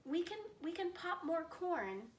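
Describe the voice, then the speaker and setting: A woman's voice: very high voice, very animated, measured pace, pitched above the performer's own voice, full character voice. A woman doing a soothing little storybook mouse. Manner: soothing, coaxing. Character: small fretful but kindly mouse. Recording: echoey room, mic at a distance, faint noise.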